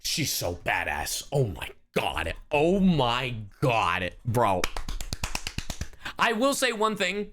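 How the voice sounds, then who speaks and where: A man streaming live reaction commentary, casual and expressive, slangy and swearing freely. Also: medium pitch, slow pace, faint music behind. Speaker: streamer, host.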